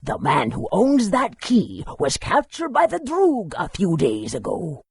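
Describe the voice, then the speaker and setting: An old scholarly sage in a fantasy game, wordy and instructive. The voice is extremely hoarse-edged and crackly, rising and falling expressively. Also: male voice, high-pitched, wildly swooping pitch, unhurried, dry recording, full character voice. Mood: helpful, wise. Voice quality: very raspy, creaky. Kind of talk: fantasy video game character acting.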